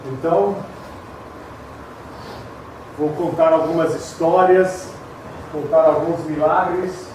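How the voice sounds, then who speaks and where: Middle-aged Brazilian man, pastor giving a humorous testimony, high voice, echoey hall with steady noise. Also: slow pace. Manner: chatty storytelling, frequent tag questions, laughing asides. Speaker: pastor, retreat speaker. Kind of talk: humorous personal testimony sermon. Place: large echoey hall at a retreat. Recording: very echoey hall, distant mic, background noise.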